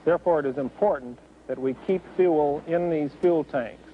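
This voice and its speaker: Narrow, tinny old film sound with faint background noise carries a fairly high male voice. He explains things as an aviation advisor, measured and instructive.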